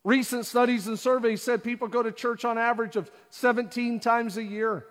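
A man with a very high voice preaching from the pulpit. He asks the congregation question after question and acts out the voices of the people he describes. His voice rings through a large church hall with a long echo. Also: steady tone, normal speed, a bit bright. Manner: preaching with rhetorical questions and acted-out quotes. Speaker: pastor. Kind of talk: sermon. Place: church auditorium.

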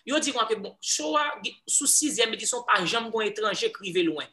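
A young Haitian man with a Haitian accent, a guest telling his story in a casual interview, animated and full of restarts. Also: very high voice, fairly quick. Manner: animated storyteller, many restarts, growing louder as he goes. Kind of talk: casual interview, switching between English, Creole and French.

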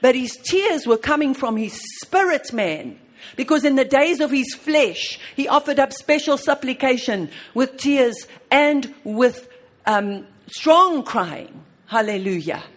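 A woman preaching a sermon on prayer, fervent and full of repeated praise, swinging between soft and loud. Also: high voice, expressive, unhurried.

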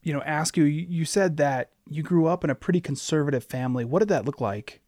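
A man asking interview questions in a fairly high voice. The sound is dry and close, with no room around it. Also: fairly quick, darkish tone, dry recording. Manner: brief backchannels and questions.

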